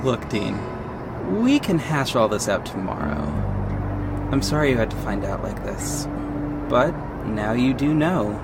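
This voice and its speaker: A man's voice: mid-range voice, very animated, unhurried, over loud music. A man playing a confident vigilante detective who narrates his own story in an earnest, dramatic audio drama style. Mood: soft, reassuring. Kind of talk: first-person audio drama narration with acted dialogue.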